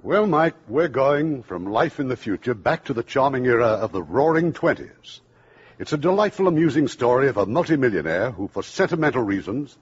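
An American man hosts in scripted 1950s radio style. His husky voice moves up and down a lot, and the old recording is dull. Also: medium pitch. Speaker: radio show host.

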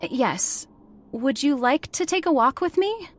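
An actress playing an earnest, headstrong factory heiress in a radio horror drama, with an emphatic, theatrical delivery. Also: female voice, high voice, expressive, unhurried. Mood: coaxing, pleading. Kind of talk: old-time radio horror drama acting.

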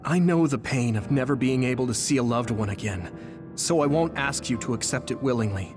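An actor playing an earnest, impassioned young hero in an anime-style video game. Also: male voice, medium pitch, brisk, music in the background, lightly acted. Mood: solemn appeal. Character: earnest young male hero rallying his team.

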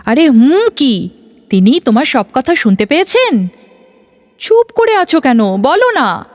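An actress playing an earnest young bride-to-be who presses with questions, in an audio drama. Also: female voice, high-pitched, big pitch swings, measured pace, no echo, studio quality. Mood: eager. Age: young adult. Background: faint music.

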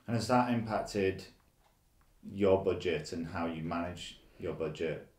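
A man's voice: sits low, a little slow, a little mellow, reverberant. A man interviewing off camera, asking questions with many restarts. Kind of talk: oral history interview.

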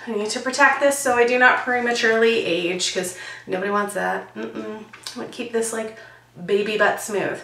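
A woman's voice: on the high side, fairly bright tone. Froggy-voiced woman vlogging. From Canada. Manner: chatty and jokey, demonstrating while talking. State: hoarse, froggy voice. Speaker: beauty vlogger. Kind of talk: casual product review. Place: small bright bedroom, indoors. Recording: roomy, slightly distant camera sound.

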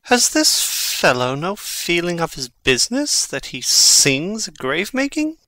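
A man's voice: high-pitched, huge pitch swings, measured pace, bright, forceful tone, no echo, exaggerated voice. A man playing a brooding, sardonic young prince in a Shakespeare audiobook, musing in a wry, theatrical way. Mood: wry, indignant. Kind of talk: dramatic audiobook reading of Shakespeare.

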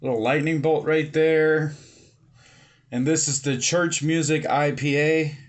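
A man in his thirties casually reviewing a beer at home, relaxed and filling pauses with "um" and "uh", recorded on a webcam or phone at his desk. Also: a little high. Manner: relaxed, many fillers and pauses. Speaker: beer reviewer, channel host. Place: home room with bookshelves, indoors.

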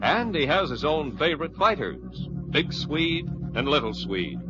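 Animated old-time American radio announcer. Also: male voice, a little high, a little slow, muffled recording. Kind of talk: 1950s radio drama announcing, scripted. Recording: old radio broadcast, loud music behind. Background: music.

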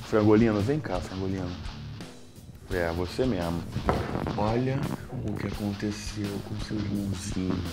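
A young Brazilian man hosting a comic cooking vlog in his home kitchen, brash and jokey, jumping from loud hype to low mutters. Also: moderate pace, over loud music. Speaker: cooking show host.

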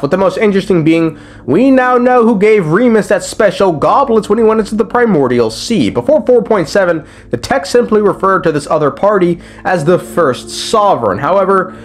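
A man giving a casual, joking recap commentary on game lore, with slang and swearing. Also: high voice. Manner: irreverent, joking, profane. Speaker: video creator explaining game lore. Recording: music behind the voice. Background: background music.

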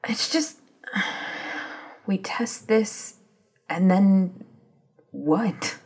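Woman acting an anxious, very breathy scientist. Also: medium pitch, darkish tone, exaggerated voice. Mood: anxious, hesitant. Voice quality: breathy, husky. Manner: halting, searching for words. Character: anxious, hesitant, self-doubting woman scientist. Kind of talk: ASMR audio drama acting.

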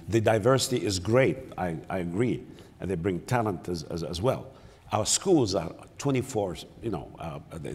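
An older man on a lapel mic at a conference panel, talking in a halting way, full of fillers and repeated words. His voice sits low with a husky edge. The sound carries a long reverb from the hall. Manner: halting, many repeated words and fillers, gesturing with both hands. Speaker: panelist, city mayor. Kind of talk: panel discussion. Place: large conference hall, on stage.

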